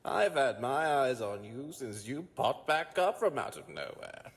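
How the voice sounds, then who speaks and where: An actor playing a gloating, obsessive mad scientist in a gothic audio drama, theatrical, taunting and sneering. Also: male voice, mid-range voice, animated, a little slow. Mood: mocking. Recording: long hall-like reverb.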